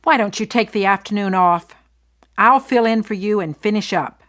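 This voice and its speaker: A woman playing a concerned, blunt best friend in soap-opera dialogue for English learners, speaking deliberately and clearly. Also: medium pitch, fairly bright tone, lightly acted. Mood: supportive, firm.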